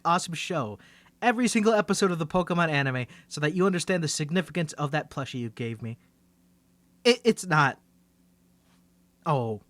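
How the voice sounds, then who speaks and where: A man's voice: high-pitched, fairly bright tone, no echo. Man acting a nervous, stammering young superhero in hiding. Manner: stammering, flustered, halting. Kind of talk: ASMR roleplay monologue to the listener.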